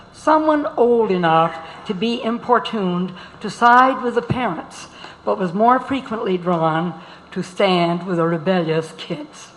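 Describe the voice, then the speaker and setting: A woman reads a written memoir aloud to be heard across a big hall. Her pitch is average and the room adds a long reverb. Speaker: reader at a live event. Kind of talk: dramatic reading of a memoir. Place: large auditorium.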